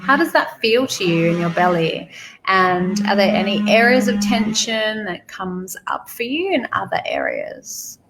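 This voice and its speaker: A woman guiding a meditation slowly and soothingly, repeating phrases with pauses, in a creaky, gravelly voice. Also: mid-range voice, huge pitch swings, over a noisy crowd, low-quality recording. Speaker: guided meditation leader. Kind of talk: guided money meditation, spoken softly to the listener.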